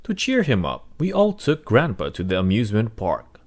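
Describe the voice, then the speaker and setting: A man reading a children's storybook aloud as narrator, in an expressive, dramatic way. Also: fairly low pitch, moderate pace. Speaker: read-aloud host.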